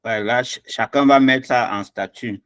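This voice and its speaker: A man's voice: medium pitch, steady tone, normal speed, fairly bright tone, smooth, no room sound, clean studio sound. A man closing an online language class on a video call, speaking hesitantly with many fillers.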